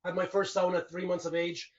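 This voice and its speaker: Higher-pitched man, distant dull recording. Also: completely flat delivery, fast talker, darkish tone. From Canada. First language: Finnish. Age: 40s. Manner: rambling and reflective, frequent restarts and "you know". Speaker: guest. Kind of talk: casual conversational interview. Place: his home.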